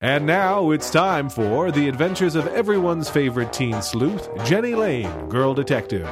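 A man's voice: fairly high pitch, very animated, normal speed. A man doing emphatic, theatrical announcing in the style of an old-time radio show. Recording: prominent music behind the voice.

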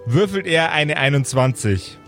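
A man narrating an improvised tabletop role-play as game master, with deliberate, theatrical description. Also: a little high, animated, a bit bright. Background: faint music.

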